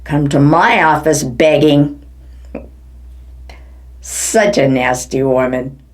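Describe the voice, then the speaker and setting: A woman from the United States giving a theatrical satirical reading, swinging between low mutters and high exclamations. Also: takes it slowly. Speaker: performance artist. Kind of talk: satirical dramatic reading of quotes. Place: home living room.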